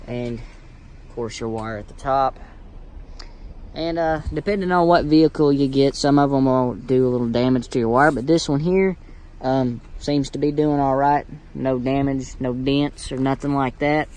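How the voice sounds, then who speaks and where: A man's voice: medium pitch, moderate pace, darkish tone. A man with a Southern US accent giving an informal hands-on tutorial in a folksy, rambling way. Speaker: how-to video host. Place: inside a vehicle. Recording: clear background noise inside a vehicle.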